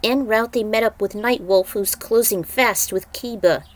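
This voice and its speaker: A woman's voice: fairly high pitch, moderate pace. A woman reading a piece of fantasy fiction aloud as a dramatic narrator.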